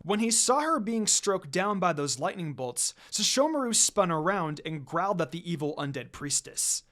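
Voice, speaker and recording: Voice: mid-range voice, sing-song, normal speed, a bit bright. A reader narrating a story in a dramatic amateur style.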